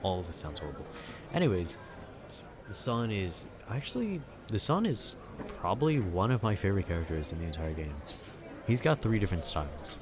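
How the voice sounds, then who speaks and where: A man with a very low voice chats calmly to the listener in a loose, nerdy ramble. He wanders and cuts himself off as he goes. Clear urban background noise sits behind his voice. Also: brisk. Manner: nerdy, meandering, self-interrupting. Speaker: solo audio creator rambling to the listener. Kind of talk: calm casual rambling chat about video games. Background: urban ambience.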